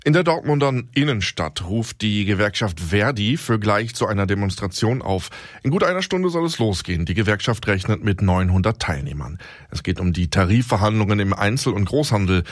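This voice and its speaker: A man presenting regional radio news in a measured, formal way. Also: on the low side, lively intonation, no echo, clean studio sound. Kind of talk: read radio news bulletin.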